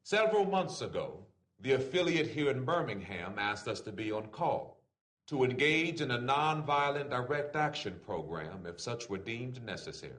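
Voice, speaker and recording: A man reading a written letter aloud in an oratorical style, with deliberate, formal phrasing. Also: medium pitch, fairly bright tone. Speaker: reader.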